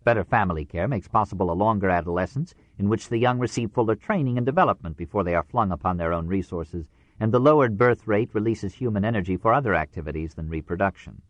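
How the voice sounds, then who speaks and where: A man narrating a nonfiction audiobook in a measured, formal way with precise phrasing. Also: fairly low pitch, expressive, no room sound.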